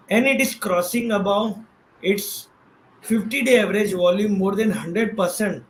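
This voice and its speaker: A man with an Indian accent explaining things as a guest expert in a casual, conversational way, checking in as he goes. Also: sits high. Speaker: guest expert, trading professional. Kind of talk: casual explanatory talk on stock trading. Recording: roomy echo, faint background noise.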